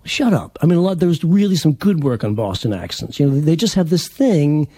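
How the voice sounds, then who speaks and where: A man's voice: a little high, sing-song, brisk, dark tone, husky. A man with a part-Irish accent, a dialect coach explaining things in a rambling way, often repeating words. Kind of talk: interview explanation.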